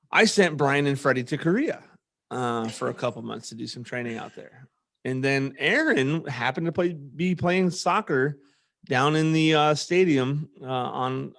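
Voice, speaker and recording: A man with an American accent hosting a podcast, telling personal stories in a casual, earnest way. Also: medium pitch. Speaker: podcast host; former army platoon leader.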